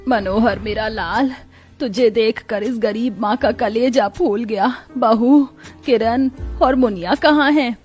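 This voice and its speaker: An actress plays a caring village family woman in a sad animated tale. Her voice is fairly high, earnest and emotional, with music under it. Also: slow pace, slightly rough. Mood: tender. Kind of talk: melodramatic story voice acting.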